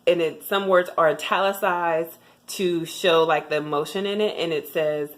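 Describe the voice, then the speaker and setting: A woman's voice: fairly low pitch, fairly even intonation, normal speed. A young adult woman giving a casual tutorial vlog, chatty with frequent ums and restarts. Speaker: vlogger sharing language tips. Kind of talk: casual tutorial talk to camera.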